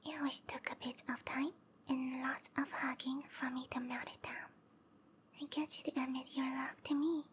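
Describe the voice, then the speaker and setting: High, whispery, clingy snake girl, acted by a woman. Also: little pitch movement, moderate pace, a little hiss or hum, close to an everyday voice. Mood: tender, possessive. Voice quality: breathy, whispery. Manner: cooing, tender, possessive. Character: sweet, clingy, obsessive female snake-girl lover, softly menacing. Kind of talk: intimate ASMR roleplay, whispered.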